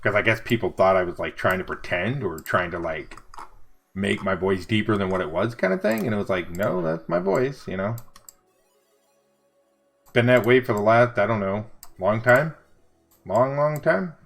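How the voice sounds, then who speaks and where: Deep-voiced bearded streamer, lively. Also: male voice, moderate pace, gravelly, pauses often. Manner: relaxed, rambling, reads and answers chat. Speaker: livestreaming vector artist. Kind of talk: casual livestream chat while drawing. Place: home studio desk.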